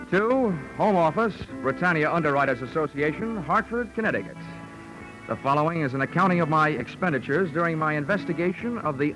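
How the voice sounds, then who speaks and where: An actor playing a wry, hard-boiled insurance investigator with an American accent and a dry, sardonic manner, in 1940s radio style. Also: male voice, a little high, poor audio. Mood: wry, crisp. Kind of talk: 1940s radio acting, first-person narration. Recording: old 1940s radio transcription.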